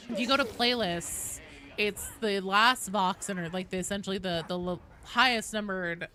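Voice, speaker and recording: A woman streaming a video game in a casual, rambling way. She swears freely and talks at medium pitch. There is clear game noise behind her voice. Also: normal speed, pushed and bright. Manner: casual, profane, discursive. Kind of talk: casual livestream gaming chat.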